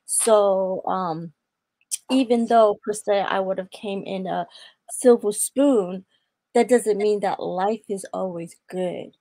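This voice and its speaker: A young woman from Atlanta, Georgia, giving an earnest personal testimony in a faith interview, quoting scripture and pausing on fillers. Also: mid-range voice, warm tone, no echo, poor audio. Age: young adult. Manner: earnest, preaching tone with scripture quotes. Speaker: guest.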